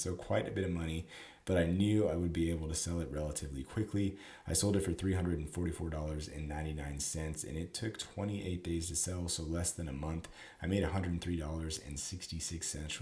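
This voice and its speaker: A slightly hoarse man, who is sick and losing his voice, recapping his golf club sales in a chatty way. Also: low-pitched, warm tone. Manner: chatty, explanatory, with frequent fillers. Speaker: golf club reseller and vlogger. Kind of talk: casual to-camera sales recap. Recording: roomy echo.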